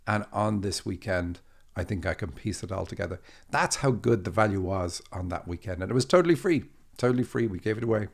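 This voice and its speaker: A man's voice: fairly low pitch, animated, a little fast, vocal fry. A man hosting a dream interpretation podcast, chatting casually and laughing easily. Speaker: podcast host.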